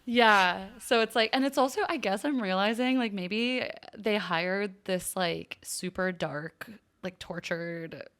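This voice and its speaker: A woman's voice: medium pitch, takes it slowly, fairly bright tone, clean tone. A woman co-hosting a casual TV recap podcast, reacting in an effusive, gushing way. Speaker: podcast co-host.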